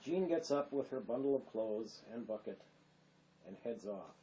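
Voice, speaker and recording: A man's voice: medium pitch, lively intonation, normal speed, dark tone, a little hiss or hum, low-quality recording. A man reading scripted stage directions as the narrator of a drama.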